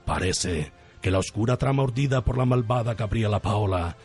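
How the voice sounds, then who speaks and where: A man's voice: fairly low pitch, moderate pace, a little mellow, breathy edge. A man presenting and narrating on radio in a theatrical, tongue-in-cheek, mock-dramatic way. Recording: faint music under the voice. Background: music.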